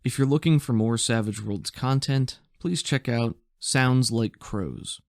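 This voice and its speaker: A man's voice: medium pitch, a little slow, gravelly, no echo, studio quality. A man narrating a tabletop game as game master and podcast host, in an informal, descriptive storytelling way.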